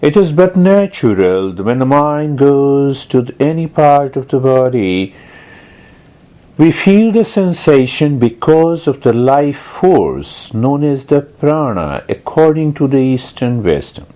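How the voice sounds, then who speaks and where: Male meditation guide. Unhurried, deliberate delivery with explanatory questions, average male pitch. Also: big pitch swings, dark tone. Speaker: meditation teacher and host.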